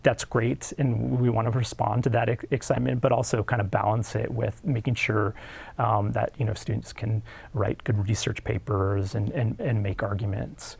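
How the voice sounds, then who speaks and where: Gravelly, husky-voiced male professor. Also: fairly low pitch, flat delivery, normal speed, darkish tone. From United States. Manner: hesitant, many fillers and restarts. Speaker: film studies professor. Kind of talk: academic interview.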